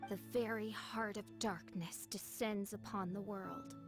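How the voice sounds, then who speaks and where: A woman's voice: mid-range voice, fairly even intonation, measured pace, natural voice. A woman voicing a theatrical young self-styled princess in a video game, pompous and archaic in speech, over music. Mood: darkly dramatic. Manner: archaic, pompous, dramatic pronouncements. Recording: music playing behind the voice.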